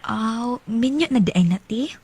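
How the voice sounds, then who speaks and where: A woman's voice: fairly high pitch, expressive, unhurried, a little mellow, breathy edge, exaggerated voice. A woman acting as an earnest, naive teenage working girl in a Bisaya radio drama, with a Cebuano accent and a plaintive manner. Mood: eager, bashful.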